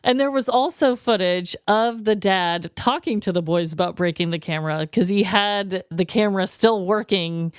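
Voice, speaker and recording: A woman co-hosting a podcast in casual comedic chat, with a playful, teasing and animated way of talking. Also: medium pitch, moderate pace, hardly any pauses, smooth, no room sound, studio quality. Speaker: podcast co-host.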